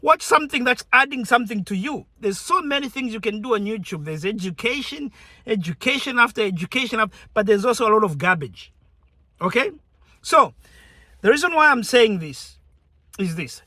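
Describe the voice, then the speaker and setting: Bright-voiced man from Zambia, chatty. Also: high voice, expressive, normal speed, no room sound, studio quality. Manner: chatty, conversational, repeats words and restarts. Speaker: YouTuber. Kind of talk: casual direct-to-camera talk.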